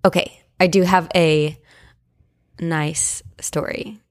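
A woman co-hosting a podcast, chatting casually and reading stories in an expressive, reassuring, conversational way. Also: low-pitched, slow pace. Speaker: podcast co-host. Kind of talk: casual paranormal podcast chat and story reading.

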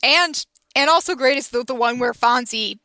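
A woman's voice: fairly high pitch, normal speed, sharp tone, no room sound, studio quality. A woman in a casual podcast chat, wry with slightly hesitant starts.

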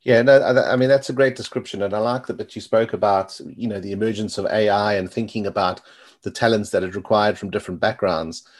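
Podcast host: middle-aged man, lowish voice, quick talker, rambles through questions. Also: steady tone. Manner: fast, rambling questions with repeated "you know". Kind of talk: interview questions.

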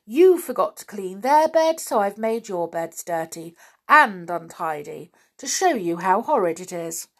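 A woman voicing a kindly, gently scolding little magical man in a storybook reading. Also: mid-range voice, big pitch swings, measured pace.

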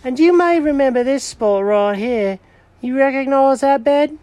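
A man doing a high, dramatic cat. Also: unhurried, darkish tone, dry recording. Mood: scheming. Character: excitable male cat, dramatic and bossy.

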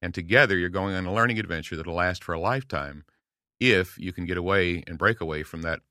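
A man's voice: deep voice, fast, no echo, clean studio sound. A man in his late sixties, a guest on a podcast, talking earnestly and telling stories to encourage listeners. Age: 60s. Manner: earnest, storytelling, warm encouragement with acted quotes. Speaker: guest speaker. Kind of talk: casual podcast conversation.

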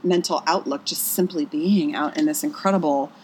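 Low-voiced woman from pennsylvania, earnest and reflective, telling her own story as a guest. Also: normal speed, darkish tone, a little hiss or hum, rough recording. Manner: earnest, reflective, speaks with frequent "I was just like". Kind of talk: candid personal storytelling.

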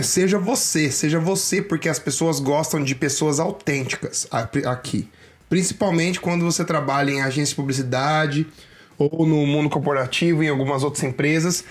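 Slangy Brazilian man giving advice. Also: on the high side. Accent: Brazilian Portuguese. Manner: slangy and animated, speaking straight to viewers. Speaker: vlogger giving career advice. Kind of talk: casual advice talk.

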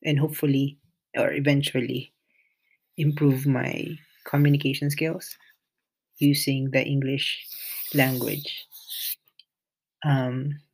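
Talks kinda slow and halting, lots of ums, flat delivery, raspy fry in the voice. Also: medium pitch, low-quality recording. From Philippines. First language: Filipino. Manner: hesitant, many pauses and restarts; shy, introverted. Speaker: podcast host. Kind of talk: solo podcast monologue.

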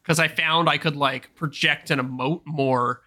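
A man co-hosting a podcast, chatting in an easygoing, agreeable way into a voice mic on a boom arm. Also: fairly even intonation, unhurried, pushed and bright. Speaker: podcast co-host. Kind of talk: casual podcast chat.

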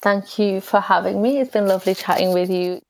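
Young Englishwoman, flat even delivery. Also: mid-range voice, a little fast, vocal fry, rough recording. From England. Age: young adult. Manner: chatty, frequent "like" fillers. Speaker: guest. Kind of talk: casual conversational answers.

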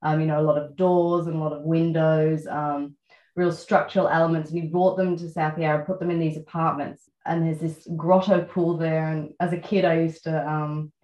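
An Australian woman in her thirties, a writer being interviewed, talking reflectively with many pauses and fillers. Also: low voice, flat delivery, warm tone, echoey room, muffled recording. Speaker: guest writer. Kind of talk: relaxed interview conversation.

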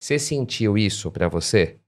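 A man's voice: on the low side, animated, moderate pace. A Brazilian man interviewing casually on a podcast, chatty, sharing his own experiences and often ending sentences with tag questions.

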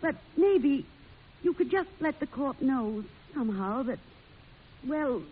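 An actress playing a fiery, sharp-tongued, defiant woman on trial in an old-time radio drama. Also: sits high, animated, slow pace, slightly rough, heavily acted. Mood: wry, confiding. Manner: indignant, sarcastic, outspoken. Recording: hissy, muffled old radio recording.

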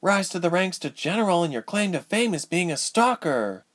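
A man's voice: high voice, sing-song, unhurried, voice pushed up, heavily acted. A man voicing a defiant, bitter young woman sorceress in a storybook, with a sarcastic, biting manner.

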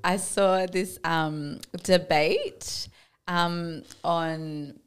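A young woman co-hosting a podcast, talking in a relaxed way with many fillers. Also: on the low side, extremely slow, clean tone. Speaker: podcast co-host. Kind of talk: casual chat.

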